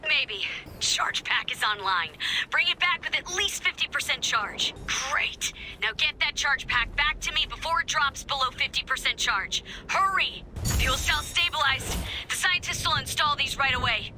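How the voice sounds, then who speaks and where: Bright, eager young resistance mechanic. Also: female voice, high-pitched, normal speed, slight background noise, poor audio. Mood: excited, urging. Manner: chatty, hesitant, eager. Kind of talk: video game mission dialogue.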